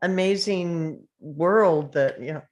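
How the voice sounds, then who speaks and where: A woman's voice: fairly low pitch, takes it slowly, a little mellow. A woman speaking hesitantly and in a laid-back way in a casual group discussion.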